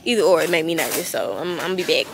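A woman's voice: sits low, lively intonation, rapid-fire, music in the background. A teenage girl, vlogging in a casual, rambling way, with a stuffy, congested nose. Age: teens. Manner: chatty and candid, rambling asides. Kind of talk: casual vlog chat.

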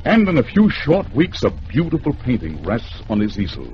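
A man with an American accent narrating a suspenseful 1950s radio drama in theatrical, measured phrases. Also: medium pitch, huge pitch swings. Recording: noisy, dull vintage radio recording.

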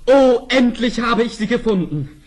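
Young fairy-tale prince, high voice that swings a lot, earnest old radio drama. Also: male voice, expressive, a little slow, a little mellow, heavily acted. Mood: excited. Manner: earnest, impassioned declamation. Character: ardent, devoted young prince. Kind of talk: vintage fairy-tale radio play acting.

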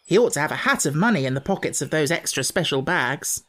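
A woman's voice: deep voice, lively intonation, quick pace, fairly bright tone. A woman reading a children's storybook, voicing a blunt, practical schoolboy brother with old-fashioned slang. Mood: hopeful.

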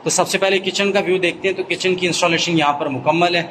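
Urdu-accented male host, slightly high voice, house tour patter, faint room noise. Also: quick pace. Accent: Pakistani Urdu. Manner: steady salesman patter, repeating stock phrases. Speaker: real estate YouTuber giving a house tour. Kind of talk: walkthrough narration. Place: inside an empty new house.

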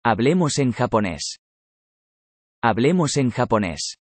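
A man reading a vocabulary list in a measured way, saying each word twice for learners. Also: mid-range voice, sing-song, dry recording. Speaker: Spanish vocabulary reader.

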